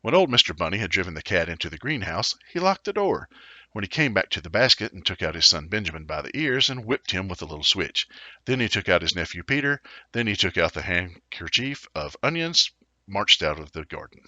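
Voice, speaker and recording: A man reading a children's story aloud in an animated, theatrical way. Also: sits low, brisk, no room sound, muffled recording. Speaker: storybook reader. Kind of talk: expressive children's story narration.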